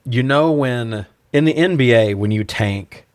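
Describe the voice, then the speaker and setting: A man's voice: mid-range voice, a little slow, smooth, no echo. A man co-hosting a podcast, chatting casually and bluntly about films with plenty of swearing. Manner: profane, blunt. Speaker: podcast co-host. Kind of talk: casual film review chat.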